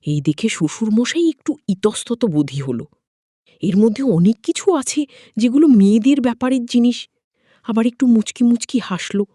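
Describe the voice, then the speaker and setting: A woman's voice: on the high side, animated, moderate pace, warm tone, dry recording, clean studio sound. A woman narrating a story informally and dramatically, as a storyteller.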